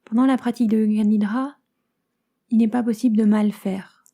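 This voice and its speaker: A woman guides a yoga nidra meditation as a teacher, calm and soothing, in soft phrases that turn breathy at the ends, with many pauses. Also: on the high side. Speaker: yoga nidra teacher guiding a relaxation session.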